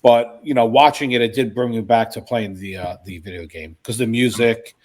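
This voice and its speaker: A man's voice: medium pitch, steady tone, moderate pace. A man chatting casually as a podcast panelist. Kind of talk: casual chat.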